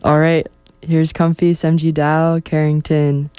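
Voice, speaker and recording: A young adult woman hosting a college radio show, introducing guests in a relaxed, slightly halting way. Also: sits low, lo-fi sound.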